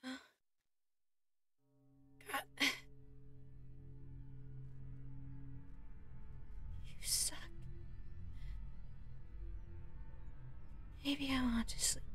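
A woman playing a frightened but defiant kidnapped young captive in an audio roleplay, pleading in halting phrases. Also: a little high. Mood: groggy, weak.

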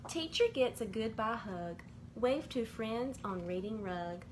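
A middle-aged woman reading a rhyming children's picture book aloud in a measured, sing-song way, as bedtime stories from a bedroom. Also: on the high side, lively intonation. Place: small indoor bedroom. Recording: clearly noisy amateur home recording.

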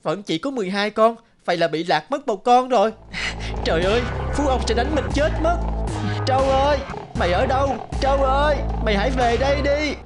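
A woman's voice: a little high, fairly quick, loud music behind the voice, natural voice. A woman voicing a small, anxious orphan herd boy in a childlike, plaintive cartoon manner. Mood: panicked.

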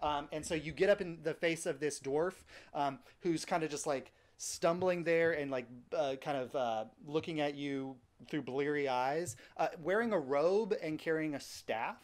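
A man's voice: fairly high pitch, normal speed, hardly any pauses. A man in his thirties narrating as dungeon master over a video call on a headset, talking in a rambling way with lots of fillers and restarts. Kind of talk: narrating a tabletop game. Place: small home room.

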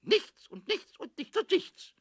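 A man voicing a small, mischievous kobold in a children's radio play, childlike and excitable, chattering to himself in sing-song with a scratchy squeak. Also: unhurried, no room sound, studio quality, heavily acted. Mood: bored, sulky.

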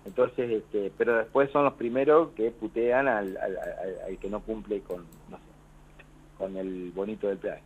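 A man's voice: lowish voice, fairly even intonation, a little slow, slight background noise. Argentine man on a tinny phone line. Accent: Argentine Spanish. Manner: rambling, many restarts and quoted retorts. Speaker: union leader guest. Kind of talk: phone-in radio interview. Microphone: telephone.

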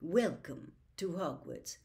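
Storybook elderly wizard headmaster, voiced by a woman: gracious, formal, composed, unhurried. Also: on the low side, big pitch swings, a little mellow, full character voice. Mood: courteous, composed.

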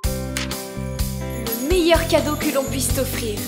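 Tender little cartoon puppy girl, high, super echoey, music loud behind. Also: slow. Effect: reverb. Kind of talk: French cartoon dubbing. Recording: long echoing reverb, loud music underneath.

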